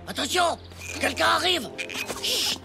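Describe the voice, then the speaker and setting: An actor playing a cocky, excitable ten-year-old schoolboy in a French cartoon, talking in boastful, slangy exclamations. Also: sits high, slow, creaky, over music, full character voice. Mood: bossy, excited.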